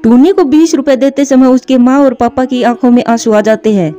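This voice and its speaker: Mellow, higher-pitched female story narrator. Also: moderate pace, highs cut off, faint music behind. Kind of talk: scripted children's story narration.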